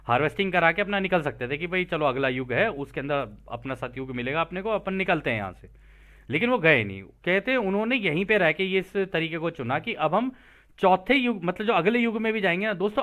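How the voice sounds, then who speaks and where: A man's voice: high-pitched, fairly bright tone. A man presenting a text, reading it aloud and explaining it conversationally, often repeating and rephrasing his points.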